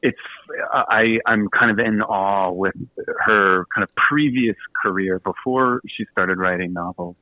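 A man's voice: lowish voice, fairly even intonation, unhurried, no echo, phone-quality sound. A man chatting casually as a radio interview guest, self-deprecating and effusive, with a joking manner. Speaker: guest, author and podcast host.

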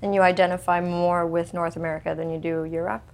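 Woman interview host, gravelly edge, measured and probing. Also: fairly low pitch, level tone, darkish tone. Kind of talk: interview questions.

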